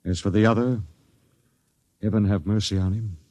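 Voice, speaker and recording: Deep, grim space captain played by a man. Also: fairly even intonation, heavy background noise, lightly acted. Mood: grim, resigned. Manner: measured, solemn, stern. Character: grim, doomed male space expedition captain. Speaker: radio actor. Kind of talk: 1950s radio drama narration and acting. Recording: dull vintage radio recording with music.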